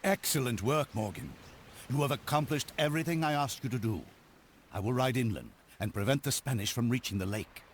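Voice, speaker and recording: An actor playing a stern, haughty older knight commander in a game cutscene, formal and authoritative. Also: male voice, mid-range voice, fairly quick, slight background noise, lightly acted. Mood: commanding. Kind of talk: video game cutscene acting.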